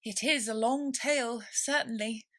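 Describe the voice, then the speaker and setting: A woman's voice: fairly high pitch, measured pace, a bit bright, pitched above the performer's own voice. A woman voicing a polite, curious little girl in a storybook, speaking in an earnest, eager way.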